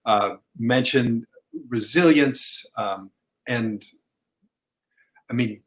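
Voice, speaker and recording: A man's voice: mid-range voice, extremely slow, fairly bright tone, rough recording. A man hosting a podcast interview, asking encouraging follow-up questions in casual chat. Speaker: podcast host.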